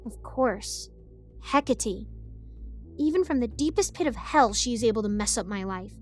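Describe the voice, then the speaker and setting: Adult female as feisty young girl narrator, age ten: rambling, indignant, excitable, higher register, music bed, audio story. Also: moderate pace, close to an everyday voice. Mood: indignant, defiant. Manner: chatty, rambling, indignant asides. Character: excitable, defiant ten-year-old girl telling her story. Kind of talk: first-person scripted story narration.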